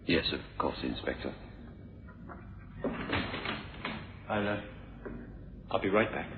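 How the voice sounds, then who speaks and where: A man's voice: sits low, animated, normal speed, heavy background noise. A man acting a nervous, devoted personal secretary in a radio mystery drama, polite and hesitant. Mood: subdued. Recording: muffled old radio drama recording.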